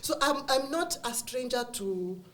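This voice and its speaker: A woman's voice: high-pitched, expressive, a little slow, fairly bright tone, a little hiss or hum. Middle-aged Kenyan woman, candid guest, earnest with easy laughter. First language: Kikuyu. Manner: earnest, frequent laughs and restarts. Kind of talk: candid conversational chat.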